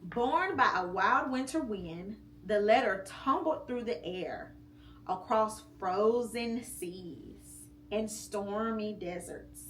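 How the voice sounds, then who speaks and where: A mother reading a picture book aloud to her child at home, slow and expressive with pauses between phrases. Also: mid-range voice. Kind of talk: storybook read-aloud. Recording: echoey room with faint hum.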